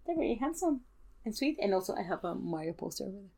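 A woman's voice: mid-range voice, very animated, quick pace, vocal fry, warm tone, husky. A young woman chatting casually as a podcast guest, gushing and playful, with lots of slang. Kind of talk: casual chat.

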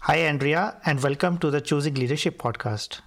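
A man's voice: fairly high pitch, normal speed, creaky, a bit bright, no echo. A man hosting a podcast, reading a scripted, emphatic intro and then interviewing a guest. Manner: emphatic, repeats key phrases. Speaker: podcast host.

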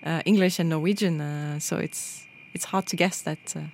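French-born woman hosting a studio podcast, lower voice, lots of uhs. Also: steady tone, normal speed, darkish tone, slight background noise. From France. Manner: hesitant, many "uh" fillers. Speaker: podcast host. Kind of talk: casual podcast chat. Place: radio studio.

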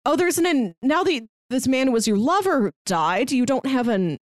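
A woman co-hosting a review podcast, chatting casually and in an animated way about a film. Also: sits high, normal speed, dry recording.

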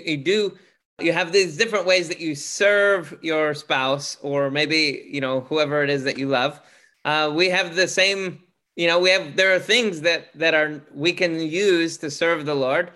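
A man with a loud, booming voice, speaking as a guest in a casual interview, earnest, with many restarts. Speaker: podcast guest, author.

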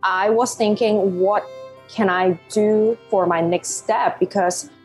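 A woman in her thirties from Hong Kong, a Cantonese native speaker with a Hong Kong English accent, telling her story as a podcast guest in animated, laugh-filled talk. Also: mid-range voice, slow pace, soft background music. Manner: animated, laughs often, non-native phrasing. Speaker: guest, life coach. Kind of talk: podcast interview.

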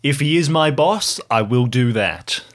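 A man's voice: mid-range voice, animated. Man as an awkward, earnest park ranger. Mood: awkward, puzzled. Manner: halting, awkwardly polite.

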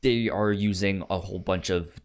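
A man co-hosting a film podcast in casual chat, haltingly repeating words as he thinks. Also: deep voice, fairly even intonation.

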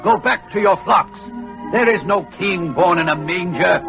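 Casting: fretful, obliging innkeeper, highish creaky voice, radio play. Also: male voice, a little slow, music in the background. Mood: alarmed, scolding. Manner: hesitant, deferential, easily agitated. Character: nervous, obliging innkeeper, anxious and fearful. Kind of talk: old-time radio drama acting. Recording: thin muffled old radio recording.

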